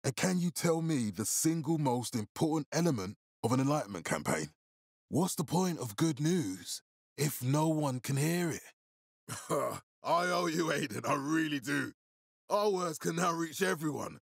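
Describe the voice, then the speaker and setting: Gravelly, commanding military leader. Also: male voice, a little high, animated, dry recording, clean studio sound, heavily acted. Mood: elated. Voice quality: gravelly, husky. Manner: authoritative, deliberate, formal. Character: stern, commanding male military leader in a post-apocalyptic city. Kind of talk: video game character dialogue.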